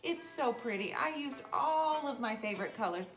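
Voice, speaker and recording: A woman's voice: fairly high pitch, lively intonation, moderate pace, a bit bright, rough recording. A woman with an American accent hosts a children's craft show, explaining steps casually with playful banter. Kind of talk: casual step-by-step craft demonstration.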